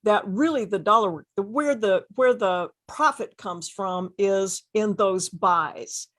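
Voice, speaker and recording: A woman's voice: mid-range voice, takes it slowly, fairly bright tone. A woman hosting an interview with effusive enthusiasm, often repeating phrases for emphasis. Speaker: host interviewing successful online sellers.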